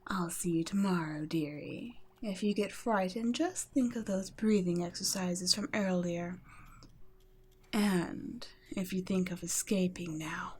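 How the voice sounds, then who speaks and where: A woman voicing a cool, condescending female vampire scientist in an ASMR roleplay, soft-spoken and patronizing, often dropping to a whisper. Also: fairly low pitch, a little slow, slightly rough.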